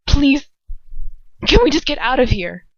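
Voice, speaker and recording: A woman's voice: high-pitched, very animated, measured pace, a bit bright, dry recording, lo-fi sound, pitched above the performer's own voice, full character voice. A woman voicing a defensive, agitated teenage girl in a story reading, flustered and insistent.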